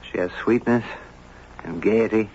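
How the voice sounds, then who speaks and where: A man's voice: fairly low pitch, a little slow, darkish tone, close to an everyday voice. An actor playing an earnest, smitten man who narrates his island memories in a radio drama. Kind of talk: radio drama acting and first-person narration. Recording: muffled, very noisy radio broadcast recording.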